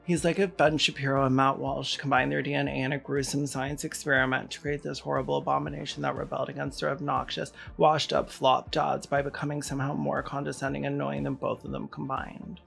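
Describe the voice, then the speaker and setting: A video essayist with a gravelly, creaky voice delivers sardonic political commentary. The tone is mocking and pointed, over faint background music. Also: mid-range voice, steady tone, a little fast. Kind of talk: scripted sarcastic political commentary.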